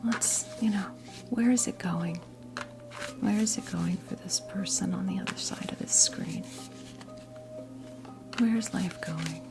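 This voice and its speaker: An American woman in her fifties whispers in a soothing, halting, conversational way as she role-plays a tarot reading for ASMR. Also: medium pitch. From New Jersey, United States. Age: 50s. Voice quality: breathy whisper. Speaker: ASMR creator role-playing a witch tarot reader. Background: soft background music.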